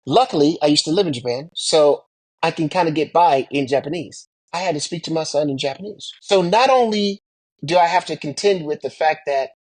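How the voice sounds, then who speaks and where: Husky American man telling personal stories. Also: on the high side, brisk, darkish tone, no echo, poor audio. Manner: emphatic, repeats phrases and poses rhetorical questions. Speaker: military veteran and pilot sharing experiences. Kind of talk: anecdotal storytelling about personal experience.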